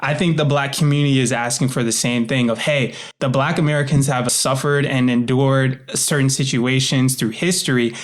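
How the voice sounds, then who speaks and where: A man's voice: medium pitch, fairly even intonation, moderate pace, few pauses. A man speaking as an interview guest in an earnest, reflective way, often voicing hypothetical quotes as he explains himself. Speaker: interview guest, author and voice actor.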